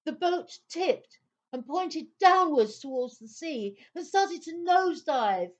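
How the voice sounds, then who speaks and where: A woman's voice: high-pitched, animated, takes it slowly, muffled recording. A woman narrating a children's story in a theatrical, suspenseful style.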